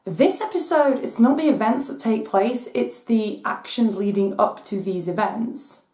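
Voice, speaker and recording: Croaky-voiced woman ranting. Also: animated, a little slow, warm tone, roomy sound. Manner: exasperated, voice cracking high at times. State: hoarse from voice loss. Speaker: channel host. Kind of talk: casual rant vlog.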